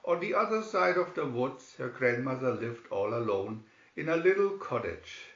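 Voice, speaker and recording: A man's voice: medium pitch, big pitch swings, normal speed, a bit bright. A man narrating a scripted fairy tale expressively as a storybook reader. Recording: roomy, slightly dull recording.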